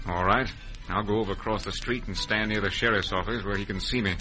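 Hearty crooked cattle boss, slightly low, forceful voice, western accent, vintage radio villain. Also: male voice, fairly even intonation, quick pace, faint music behind, low-quality recording. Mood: scheming. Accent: American western. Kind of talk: old-time radio western acting.

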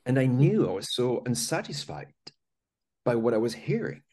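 A man's voice: mid-range voice, very animated, normal speed, a little mellow. A man from France with a French accent, a podcast guest telling his life story in an earnest, conversational way. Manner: earnest, many small restarts and "you know".

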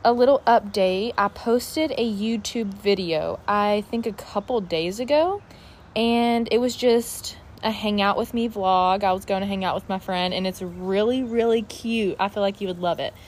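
A woman's voice: medium pitch, moderate pace, a little mellow. A twenty-one-year-old woman talks openly and casually to her listeners, leaning on "like" and "uh" as she goes. She sits outside in her backyard, with faint background noise around her voice. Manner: chatty and candid, many fillers like "like" and "uh". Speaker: podcast host. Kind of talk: casual solo podcast chat.

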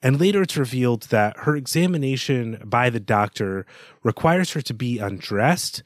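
A male podcast co-host talks through a book in a conversational, wandering way. His voice sits in a middle pitch and he drops wry asides among the analysis. The recording is dry and free of room echo. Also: lively intonation, moderate pace. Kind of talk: conversational literary discussion.

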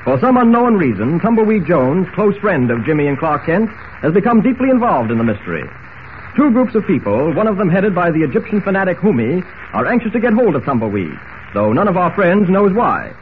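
A thin, hissy vintage radio recording of a male announcer for a superhero serial. He speaks in a fairly high voice with dramatic emphasis, in classic 1940s radio style. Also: big pitch swings, fairly quick, phone-quality sound. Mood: dramatic, urgent. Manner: dramatic, emphatic recap delivery.

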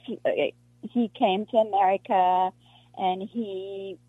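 A woman from South Africa, an actress being interviewed, talking in a halting way with many restarts and fillers. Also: mid-range voice, fairly even intonation, breathy edge, no echo. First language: Afrikaans. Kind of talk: casual interview conversation. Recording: muffled lo-fi dry recording.

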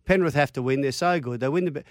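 A man co-hosting a sports radio show, animated and jokey, dropping trivia. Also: mid-range voice, quick pace, dry recording, clean studio sound. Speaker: radio co-host. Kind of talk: casual sports radio banter.

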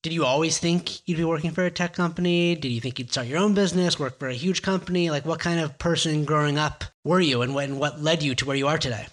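A man's voice: on the high side, quick pace, few pauses, a bit bright. A man hosting a podcast, introducing the show with upbeat energy and asking long, rambling questions. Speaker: podcast host.

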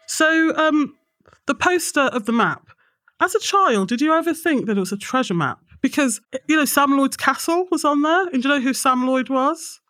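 A woman's voice: high voice, expressive, normal speed, fairly bright tone, no echo, studio quality. A woman co-hosting a podcast, chatting in a playful and enthusiastic way. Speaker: podcast co-host. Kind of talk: casual podcast chat.

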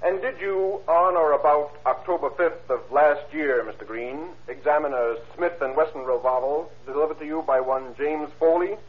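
An actor playing a formal, methodical courtroom prosecutor in 1930s radio style, questioning deliberately and crisply. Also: male voice, on the high side, close to an everyday voice. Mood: formal, probing. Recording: muffled old radio recording.

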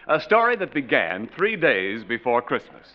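A man's voice: medium pitch, sing-song, normal speed. A man announcing in 1940s radio style, reading scripted promotion and narration in an emphatic, polished way. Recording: dull vintage radio broadcast over music. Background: music.

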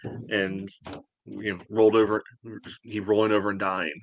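Low, flat-toned man talking sports. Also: slight background noise. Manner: halting, peppered with "you know". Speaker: podcast co-host. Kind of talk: casual sports podcast discussion.

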